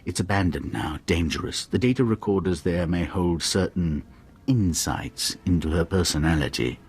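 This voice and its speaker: An actor playing an obsequious, scheming Sith informant in a video game, unctuous, hesitant and self-deprecating. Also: male voice, sits low, animated, normal speed, darkish tone, husky, exaggerated voice. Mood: servile, scheming.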